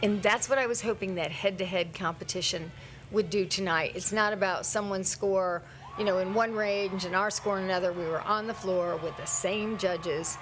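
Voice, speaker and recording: A woman's voice: mid-range voice, steady tone, moderate pace, background noise. A woman coach giving a post-event interview, earnest and emphatic about her team. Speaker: college gymnastics coach.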